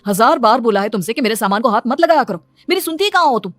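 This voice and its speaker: A woman's voice: fairly high pitch, expressive, fast talker, pitched above the performer's own voice, lightly acted. A woman voicing an irritable young husband in a cartoon moral story, exasperated, blaming and sarcastic. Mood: irritated.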